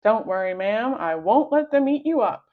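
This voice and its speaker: A woman voicing a bold, cheerful, bumbling male superhero from a storybook, declaiming loudly and heroically. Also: a little high, lively intonation, moderate pace, phone-quality sound, close to an everyday voice. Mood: heroic, rousing.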